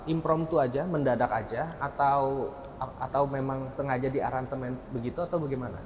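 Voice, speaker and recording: A man's voice: mid-range voice, fairly even intonation, brisk, darkish tone. A man, sounding middle-aged, interviewing casually and jokily as host, often repeating answers back playfully. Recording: echoey hall, faint background noise.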